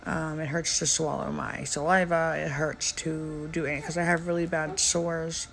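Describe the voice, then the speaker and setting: A woman narrates her own recovery diary in a low-key, steady voice that barely rises or falls. Her tone has a gravelly edge, and she talks in a halting way, pausing often on fillers. A faint hiss sits under the recording. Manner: halting, many "um" pauses, soft-spoken. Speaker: vlogger.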